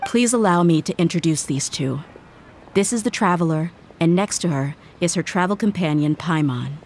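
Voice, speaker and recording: A young mercenary in a game cutscene, lower-pitched and plain-spoken, firm and earnest. Light music sits faintly behind her. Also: expressive, normal speed, darkish tone. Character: young adult woman mercenary, blunt and loyal. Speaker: mercenary bodyguard.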